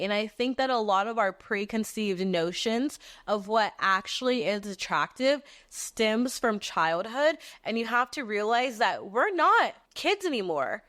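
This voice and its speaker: A woman in her twenties from Texas hosting a podcast, talking in an animated, casual monologue that moves between a squeaky voice and a lower one, with frequent casual swearing. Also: dry recording, studio quality. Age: 20s. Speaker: podcast host.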